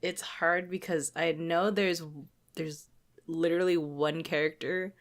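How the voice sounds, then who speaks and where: A woman's voice: on the low side, steady tone, unhurried. A woman co-hosting a podcast, chatting casually about games in an informal, giggly way. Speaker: podcast co-host.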